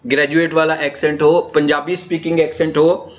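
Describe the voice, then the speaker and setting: Man, Pakistani accent, slightly high voice, informal trainer's lecture, conversational, explanatory, muffled lo-fi recording. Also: flat delivery, a little fast. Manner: explanatory, conversational, rhetorical questions and check-ins. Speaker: digital marketing trainer. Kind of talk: informal lecture in an orientation session. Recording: dull, lo-fi session audio.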